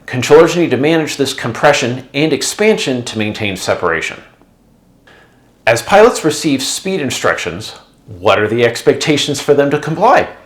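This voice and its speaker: A man's voice: mid-range voice, big pitch swings, moderate pace. A man narrating a scripted explainer in a didactic, conversational way. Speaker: presenter, former air traffic controller.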